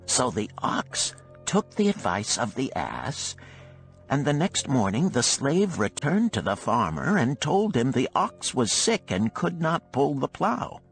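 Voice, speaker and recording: A man narrating an old-fashioned parable as an audiobook, with a measured, expressive storytelling manner. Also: medium pitch, faint music behind, poor audio.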